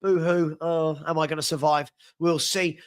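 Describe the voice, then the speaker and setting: A young man hosting a live fan chat stream, upbeat and chatty as he reads out viewers' comments and gives shout-outs, with a head cold that leaves him a bit sniffly. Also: a little high, flat delivery, normal speed, smooth.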